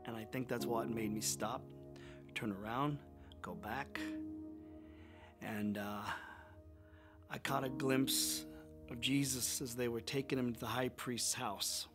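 A man's voice: medium pitch, fairly even intonation, takes it slowly, pauses often. A man playing a remorseful, impulsive fisherman disciple in a first-person dramatic monologue, confessing with rising emotion. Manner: confessional, swings from wry to anguished outbursts. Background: instrumental music.

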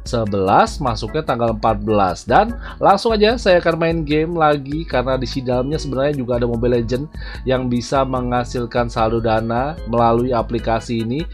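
A man's voice: medium pitch, fairly quick. A man, chatting casually to viewers as he commentates on mobile gameplay. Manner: chatty, addresses viewers as "guys". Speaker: gaming YouTuber, host. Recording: music behind the voice. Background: music.

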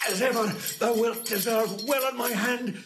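An actor playing a wronged, pompous steward who pleads theatrically and indignantly in a classical stage comedy. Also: male voice, very high-pitched, steady tone, normal speed, gravelly, over music. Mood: earnest begging.

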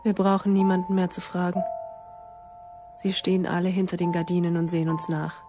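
A woman's voice: on the low side, monotone, fairly quick, natural voice. Sorrowful, tender mother, played by an actress, over music. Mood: sad resignation. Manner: imploring, resigned. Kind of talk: German radio play acting.

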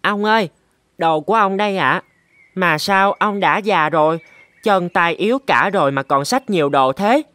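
An actor playing a playful, mischievous little boy elephant in a children's cartoon, with a childlike, expressive delivery. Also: medium pitch, moderate pace, dry recording, natural voice. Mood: apologetic, helpful. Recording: music bed behind the voice.

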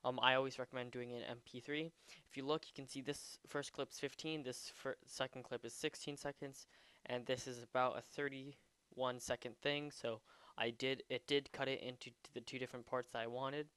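A man giving a casual, unscripted software tutorial, a bit hesitant as he thinks aloud while demonstrating. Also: mid-range voice, fairly even intonation, normal speed, smooth, no echo. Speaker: tutorial presenter.

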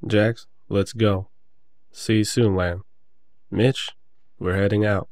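Protective werewolf male actor, audio drama. Steady low tone, reassuring manner, close dry sound. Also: takes it slowly, no echo. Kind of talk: roleplay audio drama acting.

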